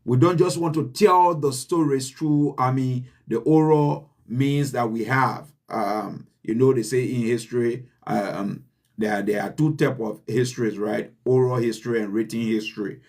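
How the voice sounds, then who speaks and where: A man with a Liberian accent giving an earnest, deliberate motivational talk to his channel's viewers, repeating his key points for emphasis. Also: mid-range voice, steady tone, darkish tone. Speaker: channel host. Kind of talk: motivational address.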